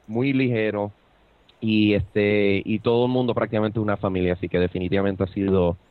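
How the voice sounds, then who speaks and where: A man's voice: on the low side, steady tone, a little fast, clear, resonant tone, no room sound. Puerto rican guy, casual podcast chat, lots of ums, kinda muffled dry sound. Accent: Puerto Rican Spanish. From Puerto Rico. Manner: hesitant, many fillers and restarts. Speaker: podcast co-host. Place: Buenos Aires, Argentina.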